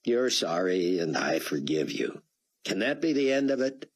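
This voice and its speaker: A calm young man, patient and forgiving, with a breathy low voice , as the narrator plays him. He talks evenly, with a wry lightness. Audiobook dialogue. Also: measured pace, heavily acted. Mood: gentle. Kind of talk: audiobook character voice.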